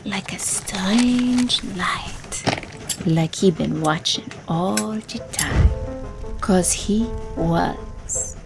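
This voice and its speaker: Woman acting a creaky, slow, eerie folksy seer. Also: medium pitch. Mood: eerie, hushed. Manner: colloquial, slow, foreboding. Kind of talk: audio drama acting. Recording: music under the voice. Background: loud music.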